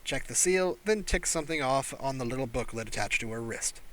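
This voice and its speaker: A man's voice: medium pitch, lively intonation, fairly quick. A man narrating a story as an audiobook reader, in scripted prose.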